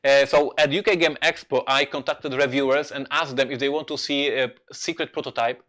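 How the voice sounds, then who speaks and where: A man who is not a native English speaker, a game designer telling anecdotes on a live stream in a halting, emphatic way. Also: mid-range voice, steady tone, a bit bright. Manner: halting, emphatic storyteller with repeated words. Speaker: board game designer and presenter.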